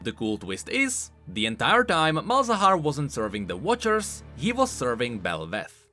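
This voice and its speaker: Animated, bright-voiced man explaining game lore. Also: a little high, unhurried, faint music behind. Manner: emphatic, informal, swooping stresses. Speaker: video game lore commentator, host. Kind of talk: scripted explainer commentary.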